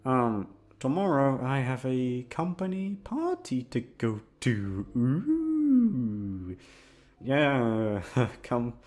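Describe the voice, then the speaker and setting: A man's voice: mid-range voice, darkish tone. A young man rambling in a casual chat, with a goofy, self-deprecating and sweary way of talking. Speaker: audio content creator. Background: background music.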